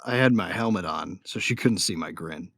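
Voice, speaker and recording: Low-voiced, understated sci-fi narrator. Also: male voice, normal speed, a bit bright, slightly rough, dry recording, clean studio sound, full character voice. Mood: calm, wry. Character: wry young armored man telling his story. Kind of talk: first-person audio drama narration.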